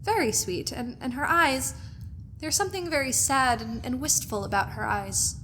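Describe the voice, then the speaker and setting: Casting: actress as naive, romantic bride, high genteel voice, fluttery hesitations, radio melodrama, faint noise behind. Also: female voice, moderate pace, sharp tone, low-quality recording. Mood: hurt, wistful. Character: naive romantic new bride, wistful, increasingly anxious. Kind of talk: old-fashioned radio melodrama acting, with diary narration.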